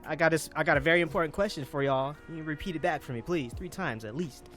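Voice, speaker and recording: A man's voice: a little high, quick pace, fairly bright tone, faint music behind. A man hosting a casual group podcast, playful and laughing often as he eggs the others on. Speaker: podcast host.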